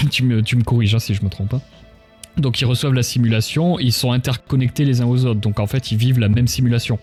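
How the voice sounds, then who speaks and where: A man's voice: mid-range voice, quick pace, darkish tone, faint music behind. A man hosting a casual live-stream interview in an informal, joking way. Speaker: interview host.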